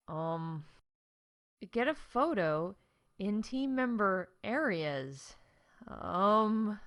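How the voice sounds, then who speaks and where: A woman's voice: a little high, fairly bright tone, full character voice. A woman playing a chatty, snarky announcer for a space theme park in a comedic audio show, reading scripted updates with sarcastic, candid asides. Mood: growing alarm. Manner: wry, sarcastic, breaking off into asides.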